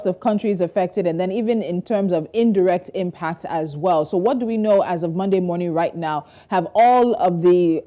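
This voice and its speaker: A woman's voice: on the low side, warm tone. A woman working as a television news presenter, delivering introductions and putting interview questions to a guest.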